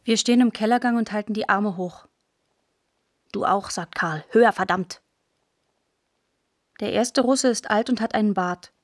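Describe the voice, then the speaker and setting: A woman's voice: medium pitch, moderate pace, pauses often. A woman narrating a first-person literary account in a radio feature, telling it in the present tense.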